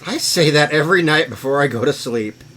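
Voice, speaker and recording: A man's voice: on the high side, expressive, fairly quick, a bit bright, slight background noise. A man giving off-the-cuff, sarcastic reaction commentary, tossing out mocking remarks as a host.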